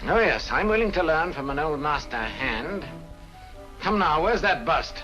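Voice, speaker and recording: An actor playing a cool, smug and ruthless criminal mastermind, sardonic and commanding, in 1940s mystery film style. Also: male voice, on the high side, animated, measured pace, sharp tone, lo-fi sound, soft background music.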